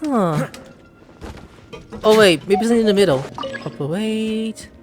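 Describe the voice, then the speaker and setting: A man's voice: sits high, unhurried. A man hosting a gaming video with casual, slangy, exclamatory commentary, stumbling a little when he reads aloud. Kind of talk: casual gameplay commentary with read-outs. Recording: faint game music behind the voice.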